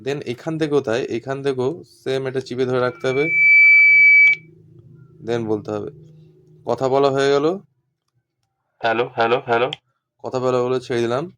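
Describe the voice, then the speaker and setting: Flat-voiced man explaining, noisy recording. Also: mid-range voice, normal speed, pauses often. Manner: repetitive step-by-step explaining. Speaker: instructor demonstrating walkie-talkies. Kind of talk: informal hands-on tutorial.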